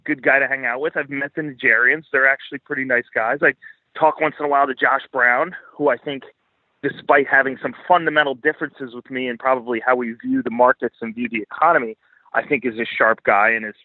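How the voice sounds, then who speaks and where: Male podcast guest, mid-pitched, casual and opinionated, swears freely, talks bluntly, very little room sound. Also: no echo, narrow, tinny audio. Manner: blunt, profane, informal. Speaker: podcast guest, financial commentator. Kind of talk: casual interview talk, opinionated commentary.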